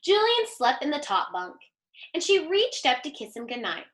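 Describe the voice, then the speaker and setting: A woman giving an expressive, teacherly picture-book read-aloud and putting questions to young listeners. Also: high-pitched, moderate pace. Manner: animated, teacherly. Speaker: teacher reading aloud to children.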